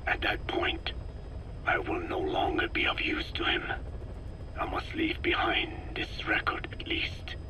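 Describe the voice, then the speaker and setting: Very deep, whispering old man. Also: low-quality recording. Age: older. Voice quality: breathy, whispery, gravelly old voice. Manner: grave, deliberate, measured storytelling. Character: very old Navajo scientist, solemn, guilt-ridden elder. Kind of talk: dramatic video game monologue.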